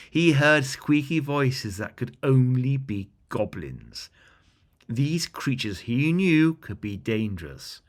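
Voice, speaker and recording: A man narrating a scripted fantasy bedtime story as a storyteller, with dramatic, deliberate phrasing. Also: on the low side.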